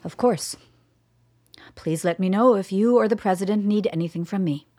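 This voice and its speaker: A woman's voice: mid-range voice, normal speed, warm tone, lightly acted. A woman playing a resolute, guarded doctor and intelligence official in a radio play, speaking with firm, formal deference. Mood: deferential.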